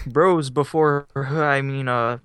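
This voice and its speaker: A man's voice: medium pitch, fairly even intonation, extremely slow, fairly bright tone, smooth, no echo, clean studio sound. Rambling young male podcast co-host. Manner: rambling, self-deprecating, laughs often. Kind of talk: casual chat. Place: on a couch at home. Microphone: microphone set up beside him.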